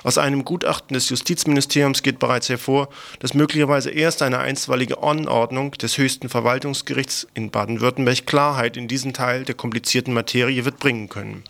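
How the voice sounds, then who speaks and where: A man's voice: mid-range voice, fairly even intonation, fairly quick, creaky, hardly any pauses, fairly bright tone. A man presenting a scripted radio news report in a matter-of-fact, critical, faintly sardonic way.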